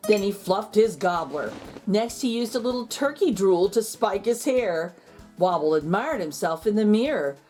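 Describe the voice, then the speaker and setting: A woman storyteller reading a children's picture book aloud in an expressive narrating style. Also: mid-range voice, moderate pace, soft background music.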